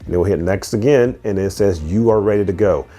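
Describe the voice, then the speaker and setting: Low-voiced man doing a casual tech demo. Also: brisk, mellow. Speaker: tech reviewer and host. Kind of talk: casual product review and step-by-step demo.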